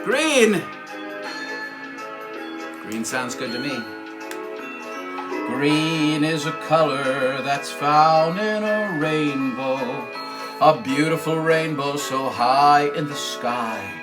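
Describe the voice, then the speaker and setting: Slow-paced man leading a children's sing-along. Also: on the high side, animated, low-quality recording. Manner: exclamatory, encouraging, repeats phrases. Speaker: children's puppet show host and singer. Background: music.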